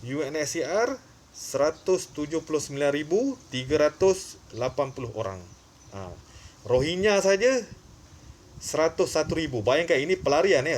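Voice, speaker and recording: A man's voice: on the high side, expressive, moderate pace, a little hiss or hum. A man with a Malaysian accent giving informal, opinionated commentary in an emphatic, repetitive way, with frequent short filler tags. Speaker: online commentary channel host.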